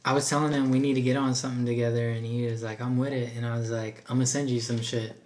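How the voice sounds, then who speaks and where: A young man with a light voice tells stories on a casual podcast. He is animated and laughs a lot, acting out what people said, and his pitch sits in the middle. There is a faint hiss of noise behind him. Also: fast. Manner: animated storyteller, laughs often, quotes himself. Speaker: podcast guest, rapper. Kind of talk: casual chat.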